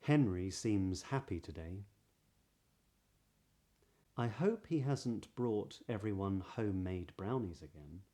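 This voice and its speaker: A man with a southern British English accent giving a pronunciation lesson as a voice coach, explaining clearly and modelling sounds. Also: on the low side, expressive, takes it slowly, long pauses, warm tone. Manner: clear, demonstrative, breathy example sounds.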